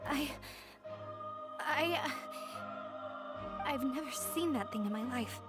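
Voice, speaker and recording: A woman's voice: high voice, takes it slowly. An actress playing a frightened, deferential young woman prisoner in a video game, hesitant, pleading and apologetic. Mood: fearful, withdrawn. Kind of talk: scripted video game voice acting. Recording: faint music under the voice.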